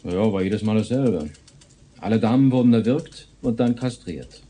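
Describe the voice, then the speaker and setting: Actor as mellow, blunt, lowish-voiced cop. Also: male voice, moderate pace, natural voice. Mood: grim, blunt. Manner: blunt, colloquial. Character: blunt, casual male police detective. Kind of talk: naturalistic radio drama dialogue.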